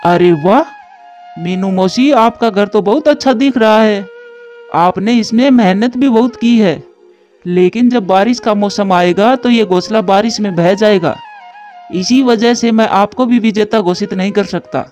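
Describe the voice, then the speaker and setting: A man voicing calm male birds in a children's cartoon, speaking in a measured, explanatory way. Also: high-pitched. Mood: stately, measured. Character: calm male crow husband and stately eagle king. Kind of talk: Hindi children's cartoon dialogue. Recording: music behind the voice.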